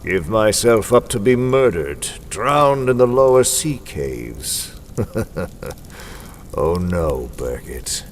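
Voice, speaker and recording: Menacing unseen crime-fighter, deep voice, mocking, deliberate, old-time radio drama, faint noise. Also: male voice, sing-song, heavily acted. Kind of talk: Golden Age radio drama acting.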